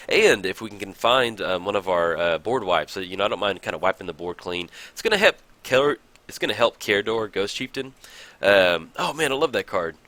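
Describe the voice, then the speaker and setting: A man casually commenting on his own card game match, thinking aloud with many fillers, his voice a bit raspy in the morning. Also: deep voice, sharp tone, no echo. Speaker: card game video host.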